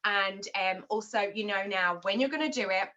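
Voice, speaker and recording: English woman, direct questions, sharp bright tone, training call. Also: medium pitch, moderate pace, highs cut off. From London, England. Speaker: marketing director leading a team call.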